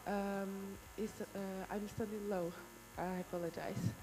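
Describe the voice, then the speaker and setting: A woman's voice: mid-range voice, little pitch movement, measured pace, background noise. A nineteen-year-old woman from São Tomé and Príncipe, introducing herself hesitantly and searching for words. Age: teens. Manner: hesitant, with fillers. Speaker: pageant contestant.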